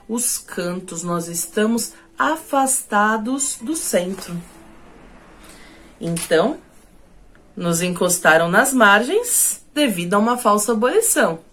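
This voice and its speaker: Declamatory Brazilian woman poet. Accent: Brazilian Portuguese. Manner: declamatory, with sudden drops and surges in pitch and loudness. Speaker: spoken word poet. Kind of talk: spoken word poetry performance.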